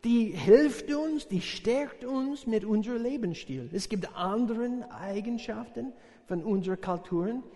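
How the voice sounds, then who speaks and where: An American man, a visiting missionary preacher, speaking a sermon in a learned language with many pauses and fillers, joking with the congregation. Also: high voice, warm tone. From USA. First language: English. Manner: halting, searching for words, many fillers, jokes with congregation. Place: church service hall. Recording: reverberant church hall.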